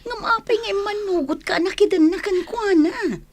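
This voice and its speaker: A woman's voice: extremely high pitch, normal speed. A woman playing a chatty Ilocano friend. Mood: sympathetic. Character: chatty young mother, loyal friend. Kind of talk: radio drama acting.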